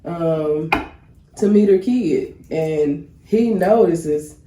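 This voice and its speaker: A woman's voice: fairly low pitch, very slow, mellow, reverberant, rough recording. A woman with a congested voice and a slight cough, co-hosting a casual relationship talk show and chatting straight to her listeners. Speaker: podcast co-host.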